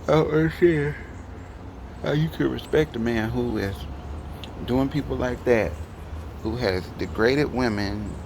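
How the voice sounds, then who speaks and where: A man's voice: medium pitch, background noise. A man from Detroit with a Detroit accent, ranting his opinions in a rambling vlog. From Detroit, Michigan. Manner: heated, rambling, talks to the camera. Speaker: vlogger.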